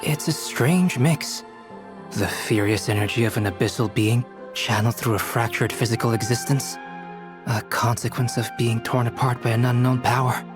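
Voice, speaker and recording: Measured, scholarly researcher voiced by a man over music. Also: medium pitch, lightly acted. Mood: grave, absorbed. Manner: measured, formal, analytical. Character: polite, scholarly young male researcher absorbed in theory. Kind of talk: English video game dub acting.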